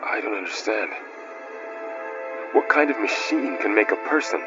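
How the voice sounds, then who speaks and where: An actor playing an earnest, questioning male companion to an adventurer in a video game, sincere and measured. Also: fairly low pitch, animated, close to an everyday voice. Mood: puzzled, curious. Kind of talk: naturalistic video game dialogue. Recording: muffled game audio with music.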